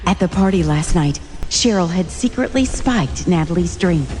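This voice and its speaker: A woman's voice: fairly low pitch, animated, normal speed, music in the background. A woman reading scripted story narration with suspenseful, dramatic phrasing.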